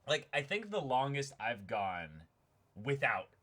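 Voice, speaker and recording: Animated, bright-voiced man chatting. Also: medium pitch, measured pace. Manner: animated, excitable. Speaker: host of a manga dramatic reading stream. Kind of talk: casual chat between acted manga lines.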